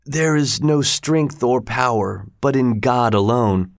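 A stern Sultan in disguise, as a man narrating a storybook plays him, speaks solemnly and with reproach. His voice has a medium pitch and a commanding gravity. The recording is very dry. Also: takes it slowly, dry recording. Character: stern Sultan posing as a sickly male servant.

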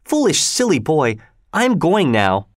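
A man voicing an impatient, defiant young woman in an audiobook, sharp and indignant. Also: high-pitched, very animated, moderate pace, no echo, studio quality, pitched above the performer's own voice. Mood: angry. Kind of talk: audiobook character voice.

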